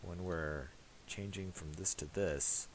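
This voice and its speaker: A man talking through a software tutorial casually, thinking aloud in a hesitant, piecemeal way. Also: low-pitched, rough recording. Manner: hesitant, halting, piecemeal delivery. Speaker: tutorial presenter. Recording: steady hiss and clicking noise.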